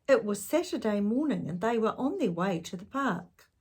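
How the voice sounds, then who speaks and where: A woman reading a children's storybook aloud, with measured, expressive narration for young listeners. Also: medium pitch, slightly rough.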